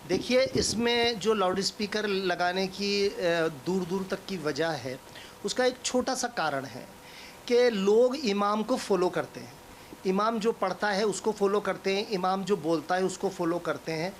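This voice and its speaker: An interviewed cleric explains step by step at a medium pitch, repeating phrases for emphasis. There is faint noise in the background. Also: a little slow. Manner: emphatic repetition, illustrative.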